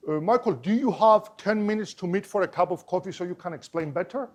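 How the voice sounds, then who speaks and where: A man's voice: high-pitched, brisk. A man with a Cypriot Greek accent quoting a confident, persistent Russian wife in his story, with firm short questions. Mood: brisk.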